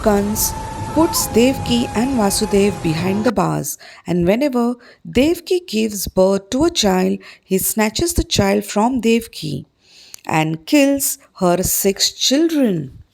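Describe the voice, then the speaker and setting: Indian woman narrating with animated, swooping pitch. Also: slow pace, vocal fry. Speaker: narrator of a school play. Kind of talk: dramatic scripted storytelling. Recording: music behind the voice. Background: music.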